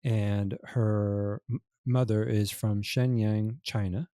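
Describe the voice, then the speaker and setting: A middle-aged man co-hosting a casual podcast conversation, talking in a halting, thoughtful way. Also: on the low side, little pitch movement, warm tone, no echo, clean studio sound. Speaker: podcast co-host.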